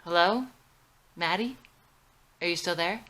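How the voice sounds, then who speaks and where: A woman playing a fun-loving, free-spirited young actress, brash and excitable, in a script reading. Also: lowish voice, unhurried, a little hiss or hum. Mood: pushy.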